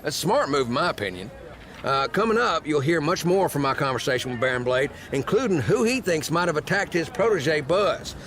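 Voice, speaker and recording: An actor playing a conversational, opinionated wrestling news host, presenting scripted sports segments. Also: male voice, a little high, expressive, fairly quick, a bit bright. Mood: informative.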